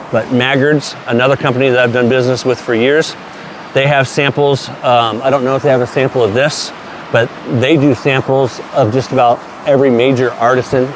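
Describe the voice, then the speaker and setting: A man's voice: medium pitch, a little hiss or hum, low-quality recording. A man in his late forties casually reviewing shaving products, enthusiastic and plainspoken, with some mild swearing. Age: 40s. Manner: enthusiastic, plainspoken, mildly profane.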